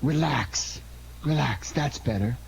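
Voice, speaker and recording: A man playing a menacing, smooth-talking fur thief in a 1940s-style radio crime melodrama, taunting with mock tenderness. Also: mid-range voice, takes it slowly, a little hiss or hum. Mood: sinister calm.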